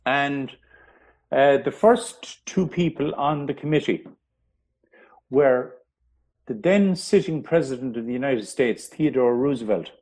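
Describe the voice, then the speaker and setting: A man, a historian, telling history in an interview, pausing often with ums and restarting his sentences. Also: medium pitch, darkish tone. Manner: hesitant, many ums and restarts. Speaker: historian guest.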